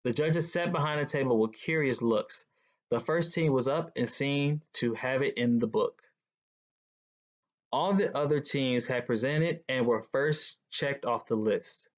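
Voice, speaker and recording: A man's voice: medium pitch, a little slow. A man reading a children's picture book aloud as a guest reader. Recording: dull, lo-fi sound.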